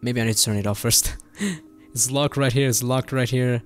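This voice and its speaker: Young guy doing a chill software tutorial, kinda excited, close mic, bit of music under it. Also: medium pitch, normal speed. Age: young adult. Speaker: tutorial host. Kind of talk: casual screen-recorded software tutorial. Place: home bedroom setup indoors. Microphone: close desk microphone on boom arm.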